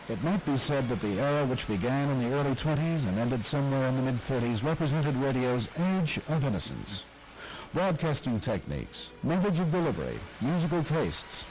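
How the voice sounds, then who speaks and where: Man, documentary narrator, measured delivery, lively pitch, faint hiss. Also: low-quality recording. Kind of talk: scripted radio history narration.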